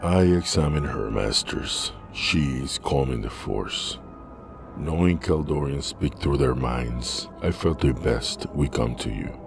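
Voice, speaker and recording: Solemn alien jedi master, super low croaky voice, measured comic dub acting, music behind. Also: male voice, exaggerated voice.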